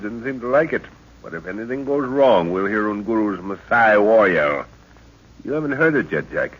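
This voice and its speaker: A man's voice: fairly low pitch, slightly rough. A man playing an older explorer professor and protective father in an old radio serial, explaining and commanding with authority. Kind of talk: 1930s-40s radio serial acting. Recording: muffled old radio recording.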